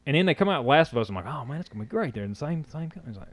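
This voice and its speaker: A man chats casually on a podcast, rambling and restarting his sentences. He talks quickly, and his voice sounds close and dry, with no room around it. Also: on the high side, big pitch swings, no echo. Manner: rambling, talks over others, restarts often. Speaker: podcast co-host. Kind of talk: casual chat.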